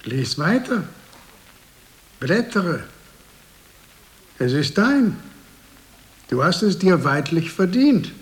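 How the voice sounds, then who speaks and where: An actor playing an old, cunning, commanding monk villain in a German radio drama, speaking solemnly and imperiously. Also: male voice, high-pitched, big pitch swings, very slow, pauses often, full character voice. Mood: coldly inviting.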